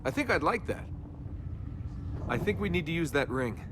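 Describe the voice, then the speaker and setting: A man's voice: fairly high pitch, expressive, moderate pace, background noise, lightly acted. An actor playing a cocky, wisecracking young male adventurer in a video game, glib and sarcastic in his banter. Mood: wry, casual. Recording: constant game ambience behind the voice.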